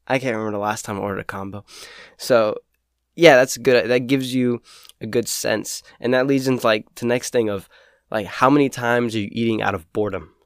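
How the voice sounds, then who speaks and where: A young man in his teens with a raspy voice from a cold, talking casually and rambling cheerfully as a solo podcast host. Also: medium pitch, no room sound, studio quality. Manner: rambling, lots of fillers, upbeat. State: sick with a cold. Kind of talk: casual solo talk about saving money.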